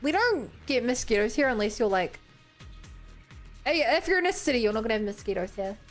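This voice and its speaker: A woman's voice: fairly high pitch, very animated, a little fast, soft background music. A woman with a New Zealand accent chatting casually as she streams a game. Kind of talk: casual livestream chat over a game.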